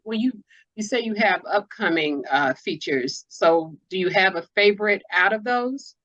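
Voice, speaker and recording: A woman's voice: mid-range voice, expressive, moderate pace, fairly bright tone, dry recording, highs cut off. A woman hosting a casual interview, talking in an informal, slangy way. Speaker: interviewer, host.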